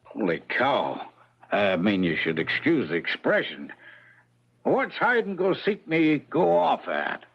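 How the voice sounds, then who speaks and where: An actor playing a streetwise talking horse in an old-time radio comedy, with slangy, cocky tipster patter. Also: male voice, mid-range voice, wildly swooping pitch, takes it slowly, gravelly, breathy edge, dull audio, heavily acted. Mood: brisk, slangy. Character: streetwise, wisecracking male talking horse who tips races.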